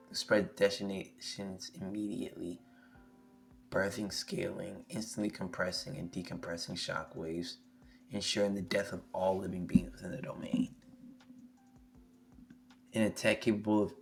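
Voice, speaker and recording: A hoarse young man on a live stream, reading a manga chapter aloud and reacting excitably, swearing freely. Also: low-pitched. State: hoarse, losing his voice. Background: faint music.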